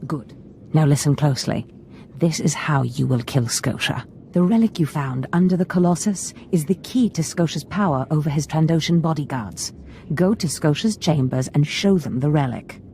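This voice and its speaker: An actress playing a scheming, ambitious Sith lord mentor in a video game, theatrical and conspiratorial. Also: female voice, sits low, moderate pace, mellow, slight background noise.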